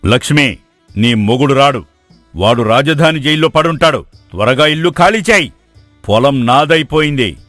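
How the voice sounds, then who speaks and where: A man's voice: medium pitch, animated, moderate pace, pauses often, a bit bright, no room sound, faint music behind, natural voice. A man playing a greedy, threatening moneylender villain with a sneering manner in a moral story. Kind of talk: acted moral story dialogue.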